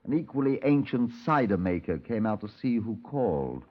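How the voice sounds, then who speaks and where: An actor playing a composed, shrewd Scotland Yard detective in a 1950s radio procedural, measured and wry. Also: male voice, on the low side, big pitch swings. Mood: grave narration. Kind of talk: 1950s radio acting, police procedural narration. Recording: tinny old radio recording.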